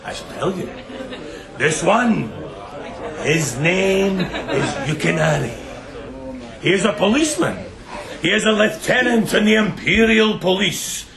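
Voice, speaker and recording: An actor playing a sadistic bandit chieftain in a radio drama, theatrical and mocking, relishing every word with menace. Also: male voice, high voice, lively intonation, measured pace, creaky, long pauses, crowd noise, full character voice. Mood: mocking, gleeful. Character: gloating, sadistic bandit chieftain taunting bound captives.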